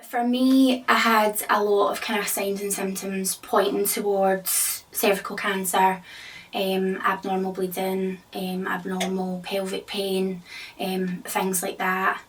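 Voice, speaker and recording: A thirty-year-old woman talks hesitantly, with ums between phrases. Her voice stays flat in pitch. She is recorded from a little way off the mic. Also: takes it slowly, recorded from a distance, slight background noise, low-quality recording. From Scotland. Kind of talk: personal testimony.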